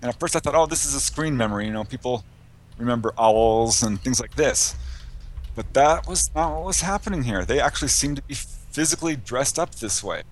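A man's voice: medium pitch, expressive, a little fast. Man recounting cases as an interview guest. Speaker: interview guest, paranormal researcher and author. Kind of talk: conversational interview, telling case anecdotes.

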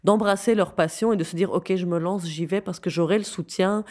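A woman's voice: on the low side, fairly even intonation, fairly quick, a little mellow. A woman speaking earnestly and emphatically as an interview guest, conversational and often repeating words for stress. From Syria. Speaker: interview guest, founder of a youth non-profit.

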